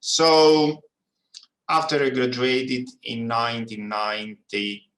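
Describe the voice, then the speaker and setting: A middle-aged man with a Greek accent giving an informal autobiographical presentation as a guest academic speaker, haltingly, with many ums. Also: medium pitch, level tone, fairly bright tone. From Greece. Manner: hesitant, halting delivery with frequent ums. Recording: roomy, echoing sound.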